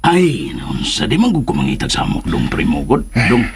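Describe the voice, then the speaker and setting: A man's voice: medium pitch, wildly swooping pitch, normal speed, gravelly, loud music behind the voice. A man playing a meddlesome, boastful old man in a Filipino radio drama, stammering, hesitant and given to exclamations. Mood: flustered pleading. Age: older.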